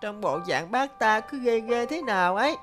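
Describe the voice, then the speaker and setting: A woman's voice: on the high side, animated, normal speed, natural voice. A woman voicing a small, stubborn and fearful baby bird in a cartoon, childlike and whiny. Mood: pouty, distrustful. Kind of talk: cartoon dubbing. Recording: music behind the voice.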